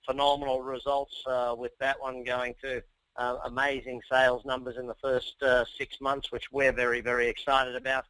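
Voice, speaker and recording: A man in his forties with an Australian accent, giving a business coaching webinar in an energetic, persuasive way and talking straight to his listeners. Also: mid-range voice, moderate pace, smooth, no echo, low-quality recording. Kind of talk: webinar presentation. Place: office or home.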